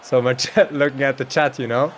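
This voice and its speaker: A man's voice: mid-range voice, steady tone, normal speed, a little hiss or hum. Man commentating an esports hockey game. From Sweden. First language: Swedish. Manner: chatty, laughs often, reads out chat. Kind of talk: play-by-play commentary.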